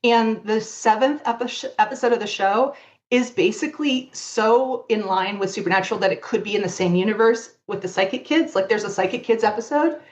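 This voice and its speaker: A woman's voice: mid-range voice, fairly quick. A woman chatting casually as an interview guest, explaining and recommending things in an enthusiastic, emphatic way. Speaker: podcast guest, longtime fan fiction author and artist.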